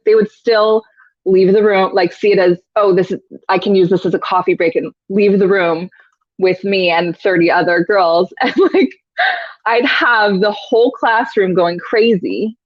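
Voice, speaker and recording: A woman's voice: medium pitch, no echo. A woman who teaches yoga, chatting casually in an interview, laughing often and saying "like" a lot. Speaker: yoga teacher, podcast guest. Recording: dull, dry lo-fi sound.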